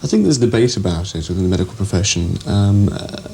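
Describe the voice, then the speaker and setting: A man's voice: low voice, expressive, quick pace, vocal fry, warm tone, a little hiss or hum. A man giving an explanatory interview answer as a psychiatrist.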